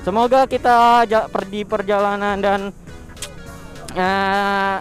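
A young man, chatting excitedly in slang through a helmet intercom while riding a motorbike at night. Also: high voice, slow pace, faint music behind. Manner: excitable, slangy, exclaims often. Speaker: motovlogger. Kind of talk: casual riding vlog chat. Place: on a motorbike on city streets at night.

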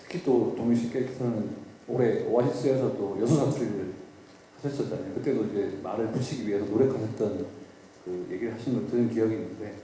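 A man with a Gyeongsang accent and a low, very dark voice asks a long, meandering question. He sounds far from the mic in a big echoey room. There is faint noise around him. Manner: long-winded, rambling question. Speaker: reporter asking a question. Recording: echoey hall, distant from mic.

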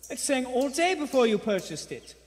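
A man's voice: very high-pitched, sing-song, normal speed, slight background noise. A man playing a kindly, sly old shopkeeper with courteous, wordy speech in a live radio play. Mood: placating, polite. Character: kindly old bird-shop keeper, secretly a bomb maker. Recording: echoey theater hall.

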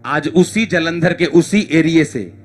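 A man's voice: a little high, measured pace, faint music behind. A middle-aged man preaching an impassioned sermon as a pastor, emphatic and exhorting, repeating phrases for effect. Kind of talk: impassioned church sermon to a congregation.

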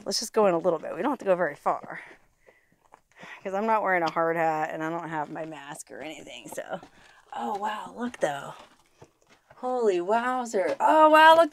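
A woman in her thirties, excitable and easily amazed, narrating as she explores outdoors and inside an old mine, talking into a handheld camera. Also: medium pitch.

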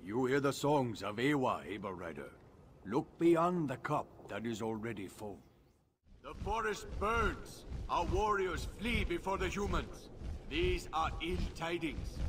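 An actor playing a proud, solemn alien native warrior guide in a video game, speaking formal, clipped English. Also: male voice, a little high, animated, a little slow, fairly bright tone, a little hiss or hum. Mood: urgent, rousing. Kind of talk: video game character acting.

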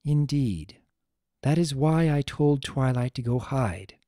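A narrator voicing a regal, mature female pony ruler, calm, formal and firm. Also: mid-range voice, slow, no echo, studio quality. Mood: firm, grave.

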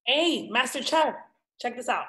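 Bossy mocking cook, high bright voice, brash, roomy echoing call, comedy read. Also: female voice, expressive, measured pace. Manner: brash, calling out. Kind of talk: comedy table read acting.

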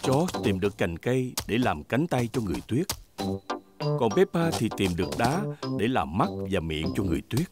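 A man's voice: on the low side, very animated, normal speed. A man narrating a children's cartoon as a cheerful storyteller for small children. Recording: music bed behind the voice.

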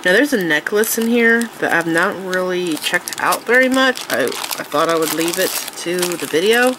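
A woman's voice: on the low side, lively intonation, normal speed, noisy background. A woman with a congested, nasal voice from allergies, chatting casually and eagerly as she shows her craft supplies. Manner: chatty, enthusiastic, with fillers and restarts. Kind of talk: casual show-and-tell chat. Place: indoors at a tabletop, overhead camera on hands.

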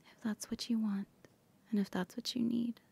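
A woman whispering softly in an ASMR comfort roleplay, gently reassuring a partner. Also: fairly high pitch, level tone, moderate pace, gravelly, breathy edge.

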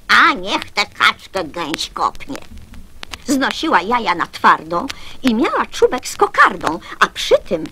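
Bright high-voiced woman reciting children's verse. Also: slight background noise, low-quality recording. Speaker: poetry reciter. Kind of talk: theatrical children's poem recitation.

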